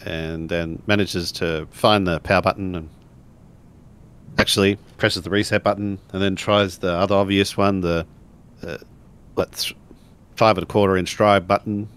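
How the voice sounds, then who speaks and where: A man's voice: deep voice, steady tone, moderate pace, a bit bright, smooth. A man narrating and acting out his character in a tabletop role-playing game.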